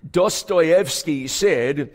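A male pastor delivers a sermon in a raised, fairly high voice. He stretches key words for emphasis and sinks to a quiet hush at serious moments. A long hall reverb trails each phrase, as from a stage mic in a large sanctuary. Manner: emphatic preaching with drawn-out key words and sudden hushed drops.

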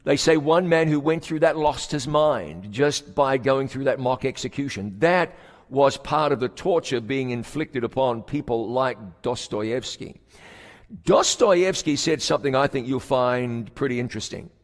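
A man preaching a sermon as pastor, stretching key words for emphasis and dropping to a hushed tone at solemn moments. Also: mid-range voice, measured pace. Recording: long hall reverb.

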